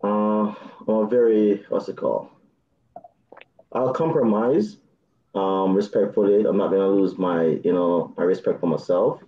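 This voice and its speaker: A man in his thirties with a Jamaican accent, chatting on a video call as a dating show contestant, soft-spoken with nervous laughs. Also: lowish voice, unhurried, echoey room, muffled recording. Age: 30s. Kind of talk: casual video call chat.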